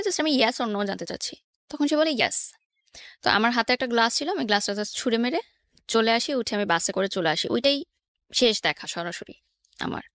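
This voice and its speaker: A woman with a fairly high voice and a Bangladeshi accent recounts her own life as a guest. She drops English words into her sentences. The sound is dry and close. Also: a bit bright, no room sound. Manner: code-mixes English words into speech. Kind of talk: personal storytelling in a radio interview.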